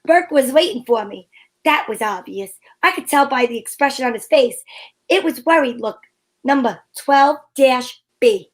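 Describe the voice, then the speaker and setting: A woman's voice: high-pitched, lively intonation, moderate pace. A woman playing a breezy, wisecracking private detective in an amateur old-time radio drama, wry and playful.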